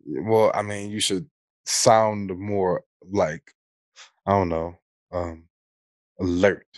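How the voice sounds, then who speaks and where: A man's voice: low-pitched, steady tone, slow pace, darkish tone, dry recording. An American man co-hosting a podcast in casual, unscripted chat, speaking in an opinionated, colloquial way with some mild swearing. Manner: opinionated, colloquial, mildly profane. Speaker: podcast co-host.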